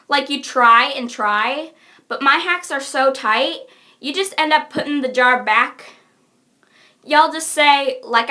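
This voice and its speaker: A woman voicing a cocky young wisecracker who rambles in deadpan fashion, for a cartoon character reel. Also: high-pitched, exaggerated voice.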